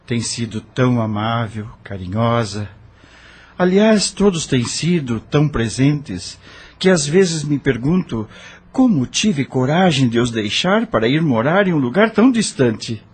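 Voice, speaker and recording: A man playing a grieving, embittered widower in a melodramatic audio novel, heartfelt and husky. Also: mid-range voice, big pitch swings, unhurried. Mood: grateful, wistful. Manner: emotional, heartfelt. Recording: music behind the voice.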